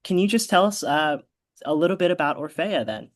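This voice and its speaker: A man interviewing a festival guest, asking easy questions and answering her warmly. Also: fairly high pitch, expressive, brisk, dry recording, studio quality. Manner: friendly and encouraging.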